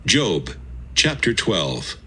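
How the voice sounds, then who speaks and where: A man's voice: fairly low pitch, very animated, extremely slow, pushed and bright, breathy edge. A man narrating scripture in a formal, scripted style.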